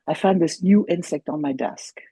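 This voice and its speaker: A woman's voice: deep voice, moderate pace, warm tone, slightly rough, no room sound. A woman in her sixties, a native German speaker raised in France, telling stories animatedly as a podcast guest, with many restarts. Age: 60s. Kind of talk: casual conversation.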